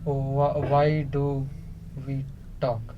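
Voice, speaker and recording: A man playing a bewildered, childlike man in an experimental radio play, asking basic questions in halting, stammered fragments. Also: medium pitch, completely flat delivery. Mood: halting puzzlement. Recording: slightly noisy, muffled room recording.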